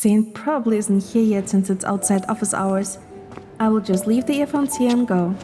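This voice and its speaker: A woman voicing a curious, polite, slightly flustered young woman in a romance story, expressive and a little hesitant. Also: mid-range voice, normal speed, close to an everyday voice. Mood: wistful, surprised. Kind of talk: scripted romance story dialogue. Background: clear background music.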